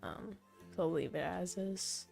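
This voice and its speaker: A young woman streaming a zoo-building game, thinking aloud and correcting herself as she goes, her voice slightly hoarse and fading. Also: lowish voice, unhurried, a little mellow, soft background music. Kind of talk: casual gameplay commentary.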